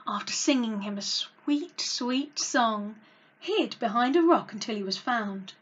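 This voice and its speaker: A woman tells a children's story in a fairly high, very lively voice, hosting a read-along. Her delivery is dramatic and expressive, pitched for young listeners. The sound is slightly dull and lo-fi. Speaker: storyteller, channel host.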